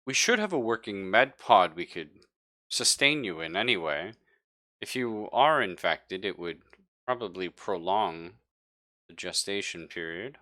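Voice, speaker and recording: A man playing a clinical, cagey doctor in a tabletop sci-fi horror game, speaking in a hesitant, measured way. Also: sits low, lively intonation, pushed and bright, no room sound, full character voice. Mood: calmly insistent. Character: clinical, cagey doctor studying a virus outbreak.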